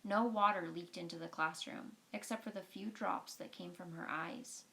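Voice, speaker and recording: A woman's voice: lowish voice, fairly even intonation, moderate pace, vocal fry, a bit bright, low-quality recording. A woman reading a storybook aloud as a storyteller, explaining things in simple, informal words.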